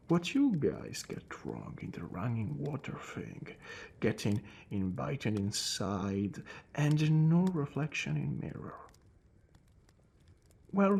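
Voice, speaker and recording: A man playing a courteous, calm and wry centuries-old vampire in an ASMR roleplay, speaking in a hushed voice that drifts into whispers with theatrical pauses. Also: mid-range voice, vocal fry, slightly rough, full character voice. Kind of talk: ASMR roleplay addressed to the listener.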